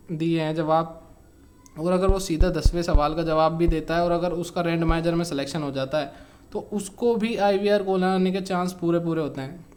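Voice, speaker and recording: A man's voice: fairly high pitch, a little mellow. A man with an Indian accent giving an informal, step-by-step explainer to viewers as a channel host, often repeating his points.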